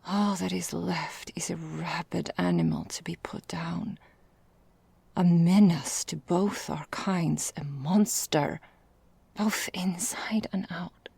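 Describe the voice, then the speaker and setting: Woman acting a low, creaky, whispering vampire mistress. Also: fairly even intonation, darkish tone, no room sound, heavily acted. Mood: brooding, bitter. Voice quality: breathy, husky, half-whispered. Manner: slow, deliberate, archaic formal phrasing. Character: female vampire mistress, ancient, possessive, seductively menacing, melancholy. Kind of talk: ASMR roleplay, intimate dramatic monologue.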